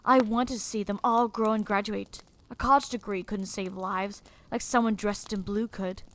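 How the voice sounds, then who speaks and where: A woman's voice: medium pitch, steady tone, moderate pace, a bit bright, close to an everyday voice, rough recording. A woman performing a sombre, regretful teacher who narrates a dramatic first-person story. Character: sombre, regretful teacher haunted by lost students.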